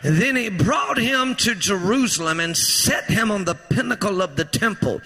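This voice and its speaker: A man preaching a fervent sermon in a church, his voice strained from shouting and rousing the congregation to respond. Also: high voice, animated, normal speed, slightly rough. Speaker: pastor. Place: church sanctuary. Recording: echoey church hall reverb.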